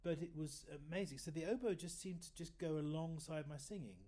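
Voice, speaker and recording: A man in his fifties with a fairly high voice, chatting in an interview and telling rambling stories with many self-corrections. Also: brisk, dark tone. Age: 50s. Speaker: guest oboist. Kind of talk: casual interview chat.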